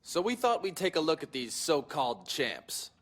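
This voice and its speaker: A man's voice: fairly high pitch, big pitch swings, normal speed. A man playing a vain, smug young wrestler in a video game, boasting and trash-talking.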